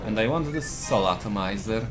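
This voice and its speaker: Male speedrunner with a Polish accent and a slightly low voice; calmly explains tactics over game music. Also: moderate pace. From Poland. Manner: explains tactics plainly while playing. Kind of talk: live gameplay commentary.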